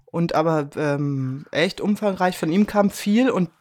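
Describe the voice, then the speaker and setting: Higher-voiced man chatting as a guest. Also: measured pace, a little mellow. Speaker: interview guest from a radio drama production. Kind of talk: casual conversation.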